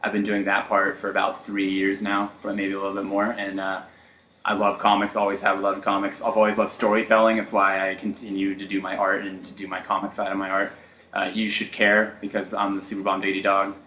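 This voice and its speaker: A loud, upbeat man in his thirties chats as a podcast guest, his bright, low voice staying fairly flat in pitch. He leans on fillers, and the sound carries a roomy echo. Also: brisk, a little hiss or hum. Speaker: guest, art teacher. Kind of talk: casual chat.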